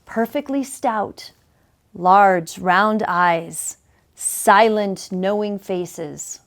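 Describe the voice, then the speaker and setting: A woman reading a children's picture book aloud in a deliberate, measured way with dramatic pauses. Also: medium pitch. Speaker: story reader, school superintendent.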